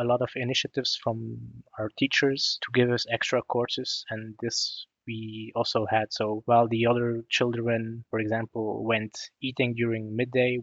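A young man from Belgium whose first languages were French and Arabic, telling his own story in a measured, reflective way. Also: mid-range voice, a bit bright, no room sound, lo-fi sound. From Belgium (West Flanders). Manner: measured, reflective, with small restarts. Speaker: guest sharing his story. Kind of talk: personal storytelling.

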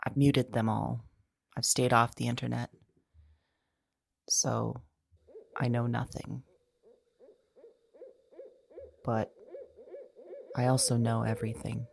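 Woman acting a low, halting, frightened lonely woman. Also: level tone, gravelly, husky, exaggerated voice. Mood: uneasy, resigned. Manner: halting, hesitant, hushed, lapsing into whispers. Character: frightened lonely woman awaiting doom, resigned yet tender. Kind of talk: intimate audio drama monologue.

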